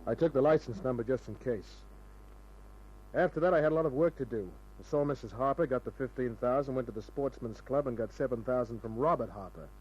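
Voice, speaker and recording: A man's voice: mid-range voice, brisk, darkish tone, close to an everyday voice. Male actor as hard-boiled radio gumshoe: world-weary, wry, sardonic, mid-range voice on a dull old recording. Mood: terse storytelling. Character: wisecracking hard-boiled male private detective. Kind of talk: 1940s-50s radio crime drama narration and dialogue.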